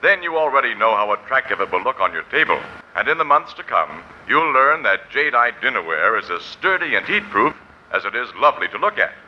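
A man's voice: mid-range voice, sing-song, a little fast. A male radio announcer reading a scripted 1940s commercial with a polished sales delivery. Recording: dull, noisy 1940s radio recording.

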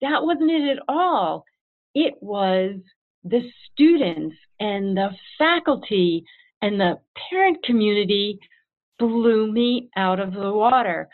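A woman who heads a school, answering interview questions in an earnest, animated way and acting out her own past thoughts. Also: medium pitch, slow pace. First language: English. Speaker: head of school. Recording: tinny phone-like line.

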